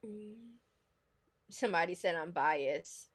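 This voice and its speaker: A woman's voice: lowish voice, expressive, slow pace, breathy edge. A woman with a New York accent chatting casually on a livestream, giving blunt, opinionated gossip commentary in slangy speech. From New York City, United States. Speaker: livestream host.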